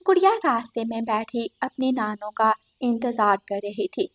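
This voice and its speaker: A woman's voice: a little high, expressive, a little slow. A woman narrating a scripted cartoon story as the storyteller.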